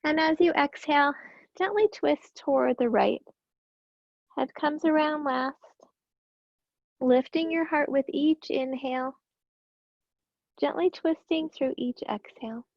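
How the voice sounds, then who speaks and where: Raspy-voiced woman calmly guiding yoga. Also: sits high, measured pace, long pauses, no echo, lo-fi sound. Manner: calm, gentle cueing with breath. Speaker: yoga teacher. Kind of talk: guided yoga class instruction.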